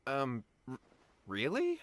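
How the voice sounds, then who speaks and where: A man's voice: mid-range voice, huge pitch swings, slow, fairly bright tone, full character voice. An actor playing an anxious, deferential young man in a video game, speaking in an earnest, polite and imploring way. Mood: humble. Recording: background music under the voice.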